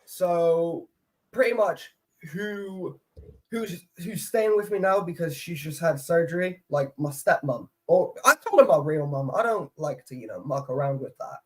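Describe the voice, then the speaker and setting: A man's voice: fairly high pitch, lively intonation, a little slow. A man in his twenties from Poland, chatting casually as a guest, rambling and swearing easily. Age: 20s. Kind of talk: casual chat.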